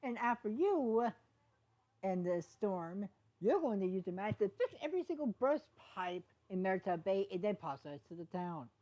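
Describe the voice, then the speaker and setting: A woman's voice: medium pitch, sing-song, moderate pace, dark tone, highs cut off, voice pushed up, lightly acted. Stern male pony sheriff as a woman reads him: exasperated, responsible, admonishing, storybook cartoon character.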